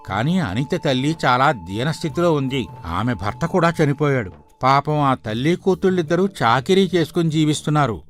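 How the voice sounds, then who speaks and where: An actor playing a gossipy, disapproving village elder with a critical, sardonic manner in a moral story. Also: male voice, fairly high pitch, fairly bright tone. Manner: critical, sardonic, sympathetic to the poor. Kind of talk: dramatised moral story dialogue.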